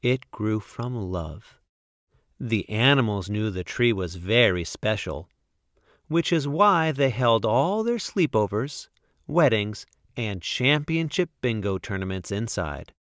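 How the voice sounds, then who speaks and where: A man reading a children's picture book aloud as a guest storyteller, expressively and with deliberate, emphatic phrasing. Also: lowish voice, expressive, a bit bright, no echo, clean studio sound.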